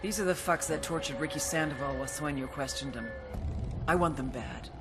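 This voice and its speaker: A woman's voice: low voice, fairly quick, natural voice. An actress as a hard-edged female agent. She speaks in a terse, driven, commanding way, as in a game's mission briefing. Music plays behind her. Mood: determined, angry. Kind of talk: video game dialogue and dramatic briefing narration.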